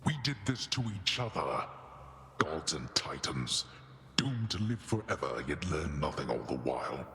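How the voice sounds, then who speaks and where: A stern, world-weary god of the Underworld in a game cutscene. His voice is low and forceful, swinging widely as he issues imperious, formal pronouncements. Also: big pitch swings, unhurried, reverberant, long reverb tail, heavily acted. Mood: bitter resignation. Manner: imperious, formal, archaic phrasing. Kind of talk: video game cutscene acting.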